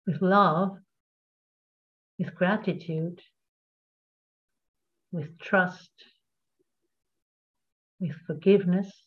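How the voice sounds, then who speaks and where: A woman presenting a workshop, guiding the group in a slow, gentle cadence over a video call. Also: lowish voice, fairly even intonation. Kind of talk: guided meditation and group talk. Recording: tinny call audio.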